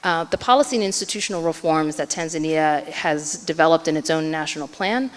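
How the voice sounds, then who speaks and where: Keynote speaker, woman with a low voice, earnest, filler-heavy delivery, in a hall with long reverb. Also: a little fast. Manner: earnest, hedging with frequent fillers. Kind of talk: conference panel answer. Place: conference hall.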